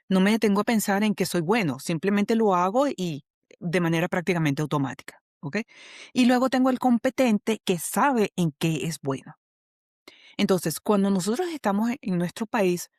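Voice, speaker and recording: A woman's voice: mid-range voice, darkish tone, no room sound. Venezuelan woman explaining patiently, dry sound. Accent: Venezuelan Spanish. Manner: patient teacherly explaining, checks in with frequent "ok?". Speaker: HR specialist and coach, guest. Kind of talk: explanatory podcast conversation.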